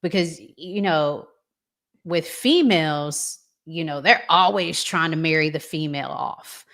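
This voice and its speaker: A woman's voice: low-pitched, measured pace, a bit bright. A woman hosting a relationship podcast in a casual, conversational interviewing style. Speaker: podcast host. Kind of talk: casual interviewing, reads audience comments.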